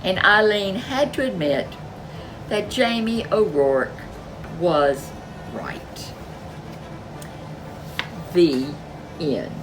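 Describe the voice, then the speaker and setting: A woman reading a folk tale aloud as a storyteller, expressive and animated. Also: on the low side, very slow, pauses often, noisy background. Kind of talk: storybook read-aloud narration.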